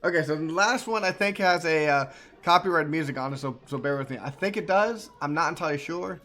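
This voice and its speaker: A man's voice: on the high side, fast talker, bright, forceful tone. A man giving casual reaction commentary as a game streamer, with jokey muttered asides and repeated words.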